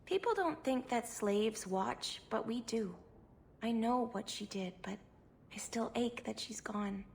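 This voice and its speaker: Meek, high-voiced elven servant girl. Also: a little slow, slight background noise. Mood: sad, meek. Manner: meek, deferential.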